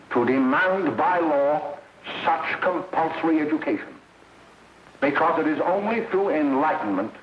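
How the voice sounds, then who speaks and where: A stern American school official in a 1930s film, declaiming grave warnings to parents. His voice sits fairly high and swings with emphatic, formal oratory. The old soundtrack is dull and roomy. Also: a little hiss or hum. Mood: grave, exhorting. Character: stern, alarmist school official lecturing parents against drugs. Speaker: speaker addressing a parents' meeting.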